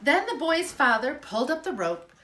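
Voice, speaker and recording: A woman reading a children's storybook aloud in an expressive, emphatic storytelling manner. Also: high-pitched, normal speed, slight background noise. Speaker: school principal reading aloud.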